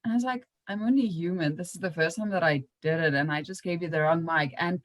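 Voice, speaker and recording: A woman's voice: fairly low pitch, quick pace, no echo. A young woman hosting a podcast, chatting casually and informally in an anecdotal style. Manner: informal, acts out remembered remarks. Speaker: podcast host.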